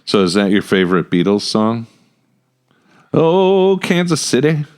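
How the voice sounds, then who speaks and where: A man's voice: mid-range voice, very animated, a little slow, clean tone. A man co-hosting a casual podcast in a playful, jovial manner on a studio microphone. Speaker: pastor and podcast co-host. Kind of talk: casual chat. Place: small studio round a table. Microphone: close podcast microphone.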